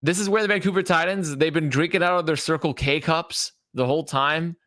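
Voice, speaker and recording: A man's voice: a little high, a little fast, fairly bright tone, dry recording, clean studio sound. A man on an esports podcast panel chatting casually and emphatically, repeating phrases to make his point. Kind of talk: casual, sweary sports analysis chat.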